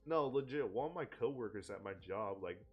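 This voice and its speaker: A man's voice: on the low side, lively intonation, a little fast, slightly rough, dull audio, faint music behind. A man in a casual streaming chat, laid-back and chatty, laughing often. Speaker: streamer co-host.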